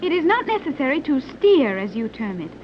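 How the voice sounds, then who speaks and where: A woman's voice: high-pitched, big pitch swings, fairly quick. A coolly confident young woman from a secret advanced island pilots her submarine. Her phrasing is formal and precise, in 1930s serial style, on a dull old recording with light hiss. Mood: calm, assured. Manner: formal, precise, stilted phrasing without contractions. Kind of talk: 1930s radio serial acting.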